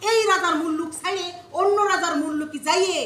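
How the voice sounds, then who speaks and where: Woman playing a high, bright village storyteller, Khulna accent. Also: fairly even intonation, quick pace, slight background noise. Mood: storytelling. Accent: Khulna regional Bengali. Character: bustling village woman storyteller spinning a folk tale. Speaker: storyteller in a stage play. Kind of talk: folk-tale narration on stage, with song. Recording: roomy stage sound, faint music.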